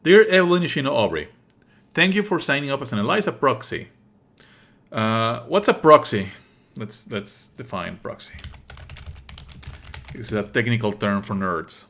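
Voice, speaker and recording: Sardonic man with lively, swooping delivery. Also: medium pitch, normal speed, long pauses. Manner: sardonic, exasperated, expressive, swears casually. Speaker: game streamer. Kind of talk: live let's play commentary.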